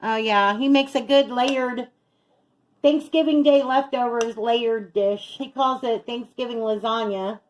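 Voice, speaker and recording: A woman's voice: a little high, fairly even intonation, unhurried, poor audio. A middle-aged woman chatting casually as she narrates each step of her home cooking as a vlog host. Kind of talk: casual chat while cooking. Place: home kitchen.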